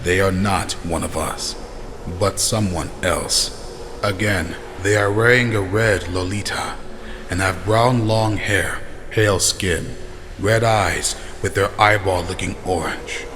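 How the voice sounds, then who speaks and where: A man voicing a calm, watchful vampire clan elder who gives measured, authoritative orders in a scripted fantasy drama. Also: low-pitched. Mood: measured, commanding. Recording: long reverb, faint background noise.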